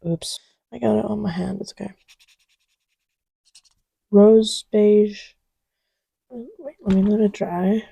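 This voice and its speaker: Playful girlfriend, played by a woman, ASMR roleplay: soft, mellow, dry close-up sound, giggly rambling that slips into murmurs. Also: mid-range voice, steady tone, slow pace, gravelly, long pauses, breathy edge, no echo, full character voice. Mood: absorbed, chatty. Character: giggly, playful girlfriend testing markers on boyfriend's lap.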